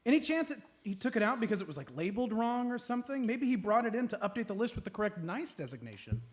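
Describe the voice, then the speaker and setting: Man acting a high-voiced, cocky detective. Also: sing-song, quick pace. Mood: puzzled, inquisitive. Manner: theatrical, mock-serious, accusing. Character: cocky, bossy amateur male detective, comically sure of himself. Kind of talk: live comedy radio play acting.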